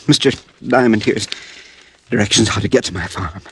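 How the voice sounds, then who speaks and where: Husky, ailing, desperate farmer. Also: male voice, lowish voice, moderate pace, fairly bright tone. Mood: weak, urgent. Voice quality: very husky, breathy. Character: desperate, dying male farmer seeking help. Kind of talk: 1940s-50s radio drama acting.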